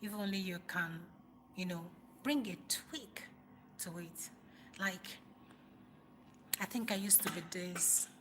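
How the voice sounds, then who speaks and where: A woman with a Nigerian accent speaks in a bright, forceful voice. She gives informal motivational talk to live viewers, leaning on repetition and pointed rhetorical questions for emphasis. There is noticeable noise behind her voice. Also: fairly low pitch, poor audio. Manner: emphatic, repeats phrases for stress, rhetorical questions. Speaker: livestream host, motivational speaker.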